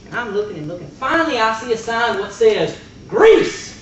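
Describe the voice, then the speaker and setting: A man's voice: sits high, animated, fast talker, a bit bright. A man telling a comic tall tale to a live audience in a folksy country manner with rustic slang. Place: meeting room before an audience. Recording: echoey, noisy room recording from far off.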